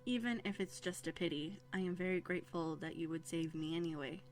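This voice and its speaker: A woman playing a young runaway princess in a roleplay audio drama, bookish and quietly bitter, talking earnestly and hesitantly into a close microphone. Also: fairly low pitch, steady tone, fairly quick, natural voice. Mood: apologetic, grateful. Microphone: close USB condenser microphone.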